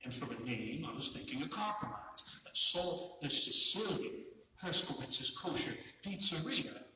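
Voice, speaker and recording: A man's voice: a little high, very animated, a little slow. A man playing a chatty, needling pizzeria man with a wry, teasing manner in a comic radio play. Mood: cajoling. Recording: noisy echoey hall, distant mic.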